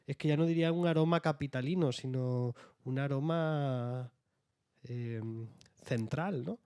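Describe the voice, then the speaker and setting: A man's voice: mid-range voice, a little mellow, clean tone, dry recording, studio quality. A young man from Jaén with an Andalusian accent, speaking as an author on a panel, hesitant with many fillers and restarts. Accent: Andalusian Spanish. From Jaén, Spain. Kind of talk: round-table discussion.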